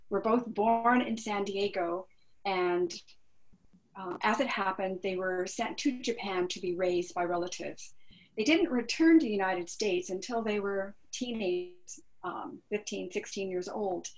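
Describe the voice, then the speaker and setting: A woman's voice: medium pitch, measured pace, rough recording. A woman guest telling her family history from her kitchen on a video call, speaking earnestly with frequent ums. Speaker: panel guest. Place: home kitchen, indoors.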